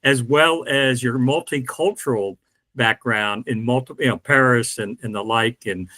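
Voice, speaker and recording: An older man co-hosting a video call chat, animated and joking. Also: mid-range voice, unhurried, no echo. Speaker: co-host. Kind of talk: casual chat.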